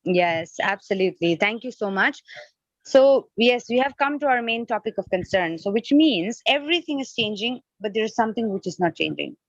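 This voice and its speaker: Female spiritual teacher, Nepali native speaker, fairly high voice, questioning lecture style, lo-fi dry audio. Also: expressive, moderate pace, no room sound. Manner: questioning, explains by rephrasing and repeating. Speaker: spiritual teacher leading an online class. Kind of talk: interactive devotional lecture. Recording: dull lo-fi online call audio.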